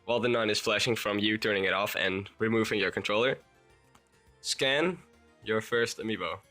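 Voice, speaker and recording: Dutch-accented young man, bright voice. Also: lowish voice, normal speed, faint music behind. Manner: rambling, hesitant with restarts. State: sick. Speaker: YouTuber. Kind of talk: game tutorial voice-over.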